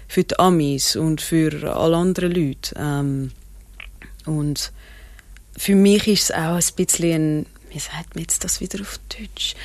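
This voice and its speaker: A woman's voice: on the low side, darkish tone, no room sound. A woman with a Swiss German accent, an actress guest chatting casually, laughing often and mixing in English phrases. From Switzerland. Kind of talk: casual interview chat.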